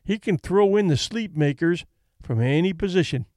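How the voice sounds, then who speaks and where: Laid-back trainer with a slow drawl, as a male narrator plays him, slightly raised voice. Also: high voice, animated, dark tone, no echo, clean studio sound. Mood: easygoing.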